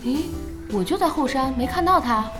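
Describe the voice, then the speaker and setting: An actress playing a young female team boss in an audio drama, curious, playful and easily flustered, with expressive hesitations. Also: high-pitched, normal speed, over music. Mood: eager. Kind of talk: Chinese audio drama acting.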